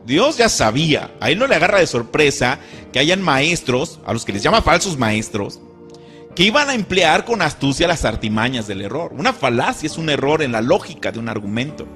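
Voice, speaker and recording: Man doing a churchy voice-over, kinda high pitched, quoting bible verses, faint music behind. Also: sing-song, normal speed, a bit bright. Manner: earnest, indignant, quotes scripture. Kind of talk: scripted sermon-style commentary.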